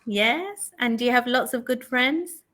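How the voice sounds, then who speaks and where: A woman's voice: fairly high pitch, unhurried, pushed and bright. A woman, patiently chatting with a young learner in an online conversation lesson and repeating the answers back. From England. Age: 40s. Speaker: online English tutor.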